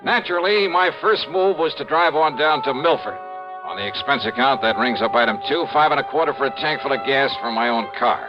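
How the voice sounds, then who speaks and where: An American actor playing a wry, confident insurance investigator in a 1950s radio detective drama, with a dry delivery. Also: male voice, mid-range voice, fairly quick, lightly acted. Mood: wry narration. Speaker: lead actor and narrator. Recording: muffled old radio recording, music behind.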